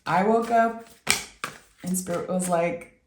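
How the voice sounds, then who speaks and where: Slow, raspy woman reading tarot, roomy sound. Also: lowish voice, noisy background. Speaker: tarot reader and channel host. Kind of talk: intuitive tarot reading addressed to the listener.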